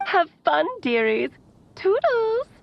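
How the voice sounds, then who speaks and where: A woman's voice: very high-pitched, big pitch swings, slow pace, vocal fry, full character voice. An actress playing a whimsical, motherly witch with a teasing sing-song manner, heard as a recorded message in an anime game. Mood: breezy, playful. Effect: recorded message playback. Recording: dull lo-fi message playback.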